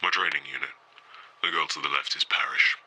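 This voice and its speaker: An actor playing a guarded, tired former super-soldier recruit who speaks in terse, clipped phrases. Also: male voice, extremely low pitch, steady tone, brisk, sharp tone, slight background noise, heavily acted, poor audio. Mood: weary.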